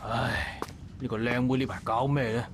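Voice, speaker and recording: A man's voice: medium pitch, flat delivery, normal speed, background noise, close to an everyday voice. Man as a grumbling, sceptical dockworker. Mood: puzzled. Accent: Hong Kong Cantonese. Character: grumbling, sceptical male dock worker. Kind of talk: visual novel voice acting.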